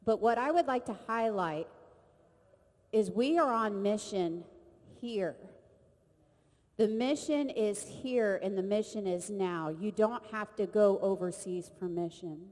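A woman's voice: medium pitch, takes it slowly. Woman leading a service in a reverberant hall. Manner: earnest, conversational, warm address to the group. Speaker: ministry leader leading a service. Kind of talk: church service hosting and prayer. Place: chapel or church hall. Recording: long reverb of a large hall.

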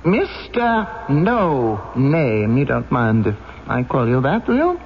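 A man's voice: medium pitch, wildly swooping pitch, exaggerated voice. An actor playing a sneering public prosecutor in a radio drama, mocking and theatrical, drawing out his words. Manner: mocking, emphatic, drawn-out. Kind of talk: old-time radio drama acting. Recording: dull old radio recording, faint hiss.

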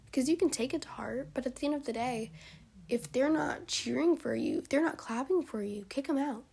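A hoarse young woman hosting a solo podcast, speaking in an encouraging, heartfelt way, her voice cutting in and out and often dropping to a whisper. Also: high-pitched, brisk, darkish tone. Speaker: podcast host. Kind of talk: solo motivational podcast talk.

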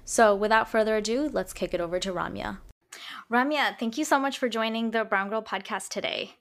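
A woman's voice: fairly high pitch, brisk, vocal fry. A woman speaking as a podcast host, introducing the show and interviewing a guest.

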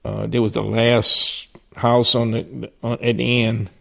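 A man's voice: mid-range voice, level tone, unhurried, creaky, a bit bright. An older man with a Cajun accent, telling folksy stories from his life with frequent restarts and chuckles. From southern Louisiana. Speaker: interviewee. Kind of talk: personal storytelling.

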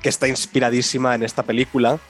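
A man's voice: mid-range voice, level tone, fast talker, soft background music. A man with a Spain Spanish accent, co-hosting a film podcast, enthusiastic and rambling as he gives his opinion. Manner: enthusiastic, rambling, many restarts. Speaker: podcast co-host. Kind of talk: casual film podcast chat.

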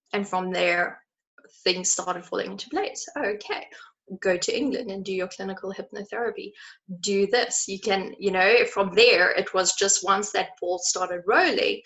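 Gravelly-voiced woman therapist, earnest. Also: medium pitch, fairly bright tone, poor audio. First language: not German. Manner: earnest, thoughtful, measured. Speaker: therapist, podcast guest. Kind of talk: reflective interview answer.

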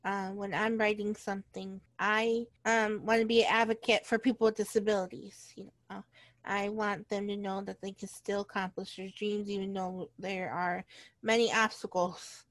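Co-host: young woman, monotone, dry close sound, earnest. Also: medium pitch, no echo. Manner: earnest, halting with ums. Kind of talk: casual podcast chat.

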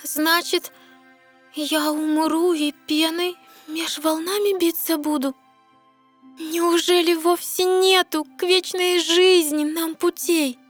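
A woman's voice: very high voice, steady tone, slow pace, faint music behind. A woman playing a wistful, yearning young mermaid princess in a verse audio fairy tale, earnest and questioning. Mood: sad dismay.

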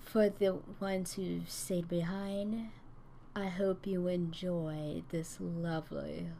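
A woman creator calmly giving a polite content warning before her audio. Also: fairly low pitch, slow pace, creaky, slight background noise.

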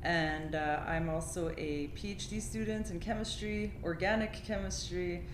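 A woman's voice: lowish voice, steady tone, moderate pace. A young woman born in Poland, raised in Canada, guiding a casual podcast chat in a friendly way. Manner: friendly, laughs easily, guides the talk. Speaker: podcast co-host and moderator. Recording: echoey room, light noise.

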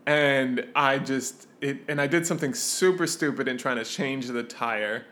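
A man co-hosting a podcast in casual chat, with a joking, halting way of talking. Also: mid-range voice, fairly bright tone. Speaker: podcast co-host.

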